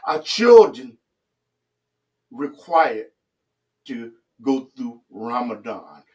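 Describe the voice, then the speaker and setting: A man's voice: mid-range voice, very animated, very slow, darkish tone, far from the mic. A man hosting an interview, asking earnest questions and affirming his guest. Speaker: host.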